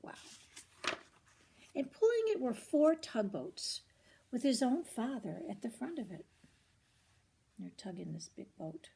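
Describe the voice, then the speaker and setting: An older woman reads a children's storybook aloud in an expressive, grandmotherly way, with short asides to the listener. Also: fairly high pitch, unhurried, gravelly, pauses often, a little hiss or hum. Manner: expressive, grandmotherly, commenting on the pictures.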